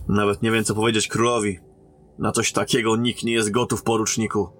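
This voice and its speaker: An actor playing a formal, cautious captain sent as a royal emissary in a fantasy audio drama. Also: male voice, on the low side, level tone, a little fast, fairly bright tone. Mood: dismayed.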